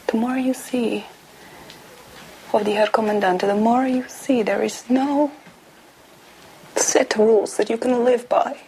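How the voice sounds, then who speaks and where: A resigned young woman prisoner in a film drama, haunted and halting. Her fairly high voice moves slowly and creaks, often sinking toward a whisper, over a faintly noisy soundtrack. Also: steady tone, warm tone. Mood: haunted, bewildered. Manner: halting, repeating herself, dropping to whispers.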